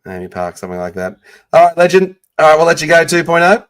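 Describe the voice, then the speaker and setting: A man with an Australian accent hosting a casual sports podcast, with quick bursts of enthusiasm when he greets guests. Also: fairly high pitch, sing-song. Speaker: podcast host.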